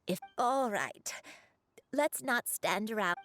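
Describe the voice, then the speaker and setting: An actress voicing a frail elderly grandmother in a game, who speaks softly and haltingly as she struggles to remember. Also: a little high, expressive, no room sound. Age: older. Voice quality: breathy. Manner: hesitant, halting, soft-spoken. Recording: game audio with soft music.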